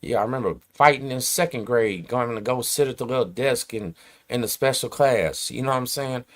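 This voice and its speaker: A man's voice: medium pitch, brisk. A man, hosting his channel and ranting to camera in emphatic streetwise slang. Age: 40s. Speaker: host. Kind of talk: casual rant to camera.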